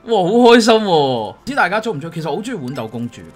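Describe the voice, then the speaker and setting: A man in his thirties with a Hong Kong Cantonese accent, giving casual, chatty game commentary and often repeating phrases excitedly. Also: high voice, rapid-fire. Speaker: gaming video host. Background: game music.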